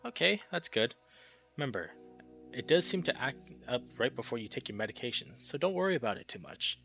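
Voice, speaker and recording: A man's voice: medium pitch, sing-song, brisk, creaky, sharp tone, muffled recording, faint music behind, exaggerated voice. A man voicing a caring but exasperated male bear in a visual novel, earnest and emphatic. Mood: concerned.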